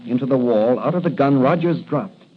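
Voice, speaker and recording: A man's voice: mid-range voice, animated, brisk, lightly acted. An actor playing a straightforward, confident police patrolman, matter-of-fact, in a 1940s radio drama. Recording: muffled, hissy 1940s radio recording.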